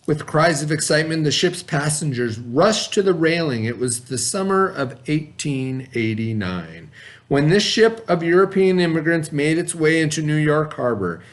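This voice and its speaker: A man with a fairly high voice reads a storybook aloud to a class. He keeps breaking off to explain words and ask the children simple questions, in a friendly teacher's way. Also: animated, moderate pace. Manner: explains and asks the class questions between passages. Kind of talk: reading a book aloud to children.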